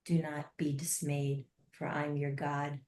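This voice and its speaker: A woman voicing a loving divine man who softly reassures a listener in a meditation. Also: sits low, flat delivery, measured pace. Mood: tender. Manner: tender and reassuring, softly spoken. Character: loving, gentle divine man comforting a child. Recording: roomy echo.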